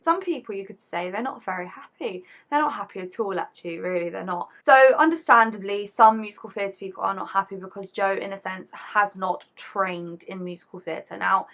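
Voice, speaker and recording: A young woman in her twenties, chatting casually to camera as a YouTuber, her voice deeper than usual after being ill. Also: fairly bright tone. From England. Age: 20s. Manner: chatty, rambling, casual slang.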